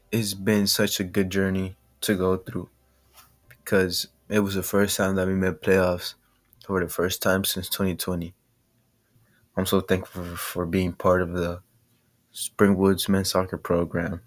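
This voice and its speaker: A man's voice: low voice, no room sound. A teenage male student narrating a scripted personal documentary. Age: teens. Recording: faint music behind the voice.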